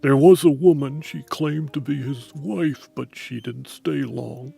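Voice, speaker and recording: A man doing a gravelly, halting doctor. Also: medium pitch, fairly even intonation, warm tone, faint music behind, full character voice. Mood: clinical. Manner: formal, clinical, halting. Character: hesitant hospital doctor, male, formal. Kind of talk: amateur audio drama acting.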